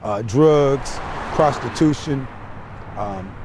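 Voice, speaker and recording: An American man speaking earnestly and haltingly in an informal interview about his community work, sometimes repeating words. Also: mid-range voice. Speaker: community nonprofit founder being interviewed. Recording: clear outdoor traffic and car noise.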